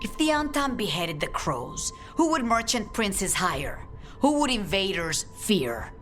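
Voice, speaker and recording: An actress playing a resolute, solemn leader of an assassin guild in a video game, speaking in a measured, commanding way. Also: female voice, medium pitch, big pitch swings, fairly bright tone, soft background music. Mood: solemn resolve.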